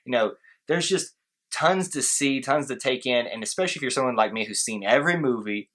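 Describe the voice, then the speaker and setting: A man with a middling pitch co-hosts a relaxed movie review. He talks in an excitable, playful way and leans on his words for emphasis. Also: brisk. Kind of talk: casual review chat.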